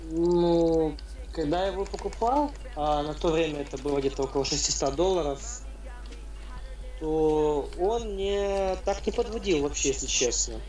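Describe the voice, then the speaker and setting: Young male guest, rambly storyteller, slightly high, echoey distant recording. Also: normal speed, a little mellow, faint music behind. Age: young adult. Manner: rambling, loose storytelling with many fillers. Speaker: interview guest, game player. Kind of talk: casual interview.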